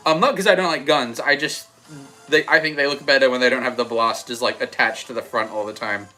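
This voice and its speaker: A young man with an Australian accent softened by American, chatting casually and rambling a little as he hosts a livestream and answers comments. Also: mid-range voice, steady tone, fairly quick, low-quality recording. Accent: Australian with some American. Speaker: livestream host. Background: background music.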